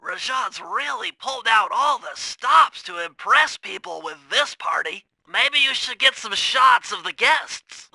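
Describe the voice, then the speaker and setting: A man's voice: very high-pitched, bright, forceful tone, no echo, full character voice, rough recording. A man voicing a brainy, earnest young cartoon turtle in a video game, explaining things in precise, technical, wordy instructions. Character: nerdy young male cartoon turtle, brainy, earnest gadget-minded strategist. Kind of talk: video game character voice acting.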